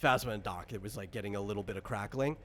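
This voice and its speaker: A man's voice: lowish voice, quick pace, fairly bright tone. A man hosting a casual tabletop character creation chat as game designer, explaining things in a rambling, self-correcting way. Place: online voice call recorded on computer. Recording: clear background noise on voice chat.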